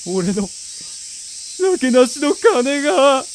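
A man playing a broke, grumbling, short-tempered young man in an amateur radio drama, with rough, casual speech. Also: mid-range voice, sing-song, a little slow, a little hiss or hum. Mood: exasperated.

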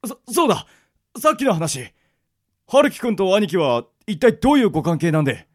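High-pitched, excitable loyal gangster henchman. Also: moderate pace, soft background music. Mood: flustered, curious. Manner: stammering, melodramatic, rough henchman's slang. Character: excitable, loyal yakuza underling, earnest and easily flustered. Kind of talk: Japanese amateur radio drama acting.

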